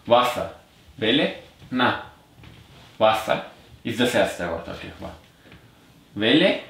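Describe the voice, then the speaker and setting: A man's voice: lowish voice, animated, slow pace, bright, forceful tone. A man in his twenties chatting casually as a teasing, sarcastic co-host in a bedroom vlog. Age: 20s. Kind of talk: casual chat. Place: small bedroom, indoors. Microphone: camera mic at short distance. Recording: echoey bedroom with clear noise.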